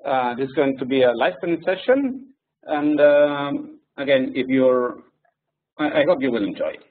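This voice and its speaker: A man with a French and Spanish accent, a non-native English speaker, presenting a software webinar in a hesitant way with many fillers. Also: medium pitch, animated, a little slow. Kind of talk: live coding webinar. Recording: distant tinny phone-like audio.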